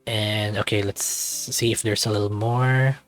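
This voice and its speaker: A man doing casual commentary and read-aloud narration as host of a let's-read series, with a halting way of talking. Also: on the low side, steady tone, soft background music. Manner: hesitant, halting when giving own thoughts.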